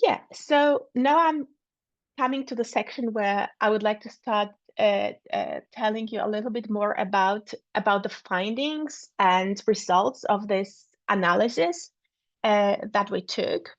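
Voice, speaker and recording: A woman presents her research over a video call. She talks slowly and hesitantly, filling pauses with ums and uhs and often repeating words. Her voice sits in a mid range and comes through a dull, lo-fi connection with a dry, close sound. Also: mid-range voice, no echo. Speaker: academic speaker. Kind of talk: online academic talk.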